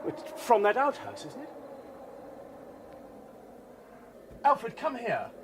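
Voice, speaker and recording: An actor playing a rational, commanding English gentleman in a period radio drama, formal, articulate and emphatic. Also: very high-pitched, very animated, normal speed, noisy background. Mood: tense, horrified.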